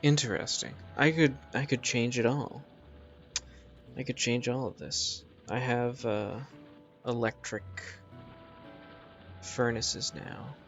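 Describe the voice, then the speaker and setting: A man's voice: mid-range voice, fairly even intonation, takes it slowly, long pauses, fairly bright tone, lo-fi sound. A man streaming a game, chatting casually in a musing, dryly joking way. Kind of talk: casual live gaming chat. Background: music.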